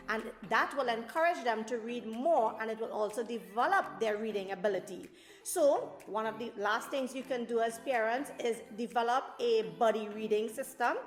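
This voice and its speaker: A woman hosting a children's storytime, speaking directly and encouragingly to parents and children. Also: on the high side. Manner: encouraging, addresses listeners directly. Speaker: host of a children's storytime show. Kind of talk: presenter talk to parents and children. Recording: roomy echo, faint music.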